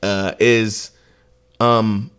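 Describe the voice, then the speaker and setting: Relaxed casual man. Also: on the low side, drawn-out words, clean tone. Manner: loose, conversational, frequent "you know what I mean". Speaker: podcast co-host. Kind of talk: casual podcast discussion.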